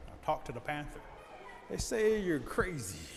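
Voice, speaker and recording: A man voicing a big, rough, bullying bear in an animal folktale, blunt in manner. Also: fairly high pitch, very animated, moderate pace. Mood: wary. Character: big rough bullying bear, bored, then wary and dismissive. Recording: long hall reverb, some noise.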